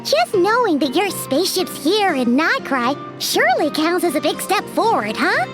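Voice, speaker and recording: A woman's voice: very high-pitched, very animated, moderate pace. A woman voicing a tiny, chatty female companion sprite who talks about herself in the third person, in video game dialogue with music behind. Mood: cheerful.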